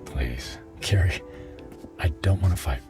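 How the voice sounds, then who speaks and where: Halting, remorseful businessman over music. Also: low-pitched, expressive. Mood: weary, pleading. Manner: halting, stammering, earnest. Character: remorseful middle-aged businessman, hesitant and pleading ex-husband. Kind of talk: radio drama acting. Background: dramatic background music.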